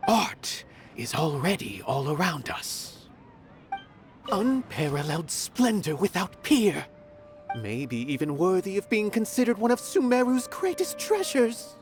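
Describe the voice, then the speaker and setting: Haughty, philosophical art lover for a video game: mid pitch, animated delivery, condescending. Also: female voice, measured pace. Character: aloof, cryptic art-loving theatre intellectual, condescending. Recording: music under the voice.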